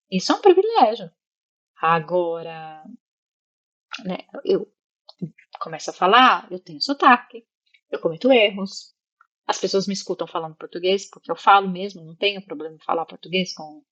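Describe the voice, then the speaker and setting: A woman's voice: medium pitch, a bit bright, muffled recording. A Brazilian woman speaking casually and reflectively as an interview guest, expressively slipping into imagined remarks and checking that listeners follow. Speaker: interview guest, psychologist living in Italy.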